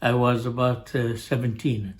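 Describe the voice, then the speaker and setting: An older man with an Arabic accent telling a family story in a halting way, full of pauses and restarts. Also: mid-range voice, little pitch movement. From Jordan. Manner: halting, many uhs and restarts, chuckles at own story. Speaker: family show guest, father. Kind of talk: storytelling, casual family chat.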